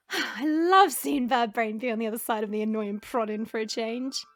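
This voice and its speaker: A woman's voice: a little high, moderate pace, no echo, close to an everyday voice. A woman playing a theatrical, bratty adventurer who teases and hams it up in a fantasy audio drama. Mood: teasing. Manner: melodramatic, playful, mocking. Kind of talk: fantasy audio roleplay acting.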